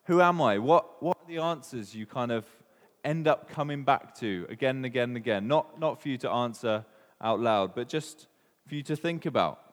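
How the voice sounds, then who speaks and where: Chatty Welsh preacher in a reverberant hall. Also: male voice, medium pitch, lively intonation, moderate pace. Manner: chatty, asks the room questions, many ums. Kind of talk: informal sermon with questions to the congregation.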